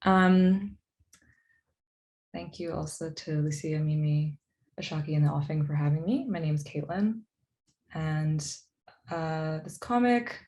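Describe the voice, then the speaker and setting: A woman's voice: low voice, a little mellow. A woman talking informally on a video call, a little hesitant and apologetic, often saying "like". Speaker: comics artist, event presenter. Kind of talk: casual, informal talk. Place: virtual event over video call.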